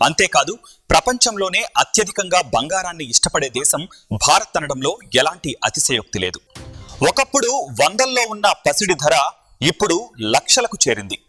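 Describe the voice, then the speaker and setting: A man's voice: on the high side, big pitch swings, normal speed, pushed and bright, husky, faint music behind. A man presenting a scripted news introduction.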